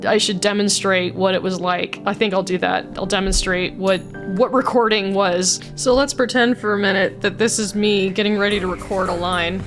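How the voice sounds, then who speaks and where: A woman's voice: mid-range voice, fairly even intonation, moderate pace, hardly any pauses. A woman chatting casually and self-deprecatingly as she explains her own amateur voice acting. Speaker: video creator and amateur voice actor. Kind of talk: casual behind-the-scenes chat with vocal demonstrations. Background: background music.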